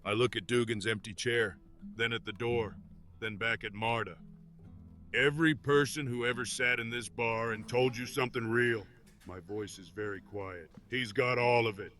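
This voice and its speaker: A man voicing a loyal, steady adventurer, speaking in a firm, quietly reassuring way. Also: medium pitch, normal speed, faint music behind, lightly acted. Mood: grave. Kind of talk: AI character in a tabletop game.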